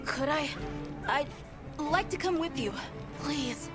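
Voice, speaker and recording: A woman's voice: high voice, lively intonation, takes it slowly. Woman as earnest young apprentice hunter, music behind. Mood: earnest pleading. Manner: hesitant, earnest. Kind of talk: dramatic video game cutscene acting.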